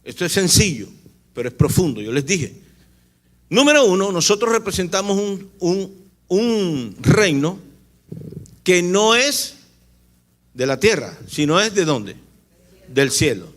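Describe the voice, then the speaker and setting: A man's voice: high voice, sing-song, a little slow, long pauses, fairly bright tone. A man from Barranquilla with a Colombian Caribbean accent, preaching a teaching sermon, emphatic, repeating his points and putting rhetorical questions to his listeners. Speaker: preacher, apostle.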